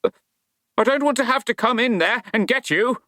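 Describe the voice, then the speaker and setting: A man's voice: very high voice, measured pace, pushed and bright, dry recording, clean studio sound, pitched above the performer's own voice, full character voice. A man voicing a frightened night watchman in a novel, nervously trying to sound stern. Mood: nervous warning. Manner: nervous, pleading warning.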